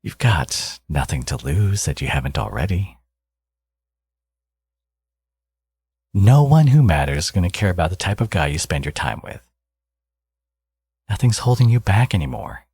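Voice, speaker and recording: A man playing a smug, flirtatious villain in an intimate ASMR audio roleplay, teasing and coaxing, with a gravelly, husky voice. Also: deep voice, darkish tone, no room sound, heavily acted. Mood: seductive, persuasive.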